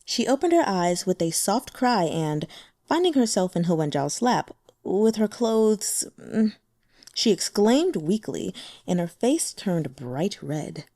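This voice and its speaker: A woman narrating a translated fantasy novel in a lively, expressive reading. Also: medium pitch, slow, dry recording, studio quality. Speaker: audiobook narrator.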